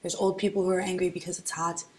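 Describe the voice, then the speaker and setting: A woman's voice: lowish voice, flat delivery, fast talker, a little mellow, a little hiss or hum. A woman from Florida giving a documentary interview in a frank, wry way.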